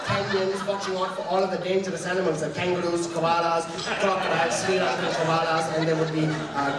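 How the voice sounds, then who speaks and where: A young man with an Australian accent tells stand-up stories with lots of energy, briefly imitating the voices of his mum and others. His voice sits fairly high. He is recorded from a distance in a large, very echoey hall, with a live audience in the background. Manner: animated storytelling, mimics voices of mum and others. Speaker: stand-up comedian. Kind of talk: stand-up comedy set.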